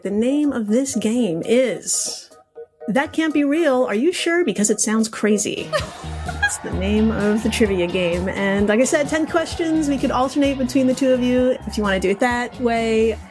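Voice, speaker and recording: A woman's voice: on the high side, lively intonation, normal speed, faint music behind. A woman with a giggly way of talking, presenting a trivia game as a podcast guest in a playful, casual style. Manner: giggly, breaks into laughter while talking.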